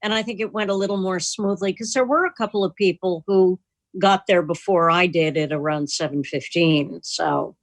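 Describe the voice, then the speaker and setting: A woman's voice: lowish voice, normal speed, no room sound. A woman chatting casually and jokingly as the host of a club's video call, telling little anecdotes. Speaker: host of an online club meeting. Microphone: computer mic on a video call.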